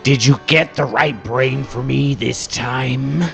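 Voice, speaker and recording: Man as creaky exasperated mad scientist. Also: medium pitch, fairly even intonation, takes it slowly, fairly bright tone, faint music behind, heavily acted. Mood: suspicious. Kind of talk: live comedy trailer parody.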